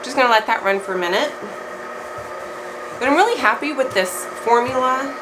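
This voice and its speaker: A hoarse woman, middle-aged, chatting casually as she walks through a craft recipe at home, thinking aloud as she goes. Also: high voice, a little fast, noisy background. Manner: chatty, relaxed, thinks aloud while working. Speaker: craft maker. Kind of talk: casual how-to chat.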